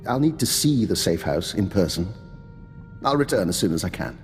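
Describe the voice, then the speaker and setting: An urbane old spymaster doctor for a game, measured and courteous, big echo, faint music behind. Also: male voice, low voice, very animated, a little mellow. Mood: apologetic, concerned. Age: older. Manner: courteous, genteel, understated. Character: urbane, calculating old male doctor and veteran spy. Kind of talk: video game dialogue acting.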